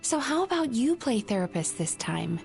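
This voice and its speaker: A woman voicing a composed, firm therapist in a video game, speaking in a measured, professional way. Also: a little high, big pitch swings, gravelly, darkish tone, faint music behind. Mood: composed, probing.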